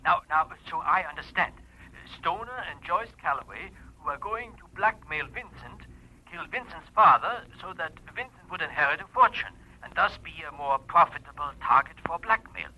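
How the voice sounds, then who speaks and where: High, sharp-voiced, excitable gentleman. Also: moderate pace, heavily acted, low-quality recording. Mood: excited, pleased. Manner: formal, effusive, flustered. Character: excitable, courteous, anxious male client. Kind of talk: 1940s-50s radio drama acting.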